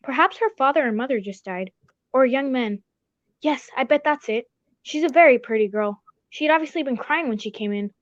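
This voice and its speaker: A genial, sentimental gentleman sidekick from a radio mystery is played by a woman. The voice is high, with polite, old-fashioned exclamations. It is captured dry and slightly dull. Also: animated, fairly quick, dry recording. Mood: curious, sympathetic. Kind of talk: old-time radio drama acting.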